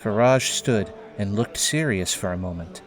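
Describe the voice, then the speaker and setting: A man's voice: lowish voice, lively intonation, measured pace. A man narrating a scripted audio drama as its storyteller. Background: background music.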